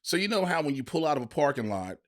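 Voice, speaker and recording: A man co-hosting a podcast, telling stories in animated, slangy, casual banter from a studio. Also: fairly high pitch, fast talker. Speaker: podcast co-host and stand-up comic.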